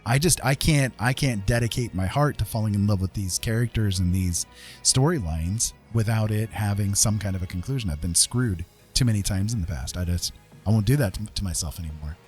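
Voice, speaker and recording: A man's voice: on the low side, a little fast, darkish tone, soft background music. A middle-aged man chatting casually as a livestream host, rambling and emphatic, often repeating his phrases.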